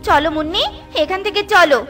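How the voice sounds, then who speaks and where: A woman's voice: very high-pitched, a little fast, pitched below the performer's own voice. A woman voicing a proud little female bird in a children's cartoon. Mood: haughty scorn. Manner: bossy, snapping, dismissive. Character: arrogant, scornful little female bird, easily offended. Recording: loud music behind the voice.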